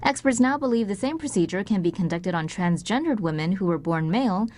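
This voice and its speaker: A woman narrates a scripted news video. Her pitch sits in the middle range for a woman, and she reads formally and in a measured manner. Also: animated, darkish tone.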